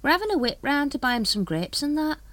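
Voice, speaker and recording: A woman's voice: sits high, sing-song, fast, a bit bright, dry recording, voice pushed up. A woman voicing a gossipy, eager male brother of a secret society in a storybook. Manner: gossipy, thoughtful.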